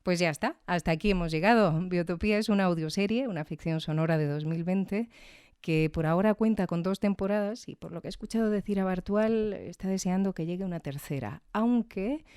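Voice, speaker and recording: A woman's voice: on the low side, dark tone, clean tone, dry recording, studio quality. A woman hosting a podcast, commenting conversationally and reflectively, often adding little tag questions. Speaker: podcast host and reviewer.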